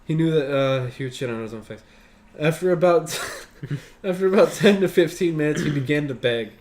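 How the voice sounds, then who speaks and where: A man's voice: fairly high pitch, normal speed, darkish tone. A man reading a story aloud as a show host, breaking off for casual, sweary commentary.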